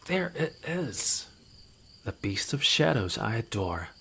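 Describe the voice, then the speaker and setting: Guy doing a smug mocking rogue, low voice, takes his time drawling, fantasy audio drama w crickets in the night. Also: big pitch swings, takes it slowly, vocal fry, fairly bright tone, exaggerated voice. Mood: teasing. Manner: mocking, drawling, playful with menace. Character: smug, taunting male rogue informant, theatrical and nonchalant. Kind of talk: scripted fantasy audio roleplay acting. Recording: noisy outdoor night ambience with crickets. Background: crickets and night insects.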